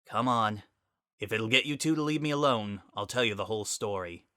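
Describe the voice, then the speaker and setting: A stern military pegasus mare, acted by a man, proud and unforgiving, with a bright, forceful edge. It is a dry storybook performance. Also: lowish voice, fairly quick, clear, resonant tone, no room sound. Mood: resigned.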